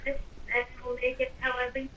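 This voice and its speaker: A sly evil overlord, acted by a woman in a game roleplay, coaxing with theatrical sweetness. The voice is high and bright, heard away from the mic with faint noise. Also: completely flat delivery, moderate pace. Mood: scheming. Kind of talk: improvised Minecraft roleplay. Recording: noisy, distant mic with game ambience.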